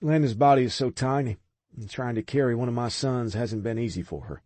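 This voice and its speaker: A man's voice: medium pitch, a little fast, darkish tone, no room sound, pitched above the performer's own voice, lightly acted. A man voicing a protective male vampire who speaks earnestly of his mate in an audiobook. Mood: concerned.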